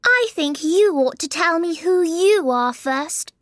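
A man's voice: very high voice, expressive, takes it slowly, a bit bright, no echo, clean studio sound, pitched above the performer's own voice, full character voice. A man voicing a shy, polite, flustered little girl in a storybook reading, speaking hesitantly. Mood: indignant.

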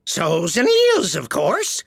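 A performer voicing an impatient, curt mythical beast in a storybook, with a raspy, creaky voice. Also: mid-range voice, wildly swooping pitch, takes it slowly, a bit bright, no echo, clean studio sound, pitched above the performer's own voice, full character voice.

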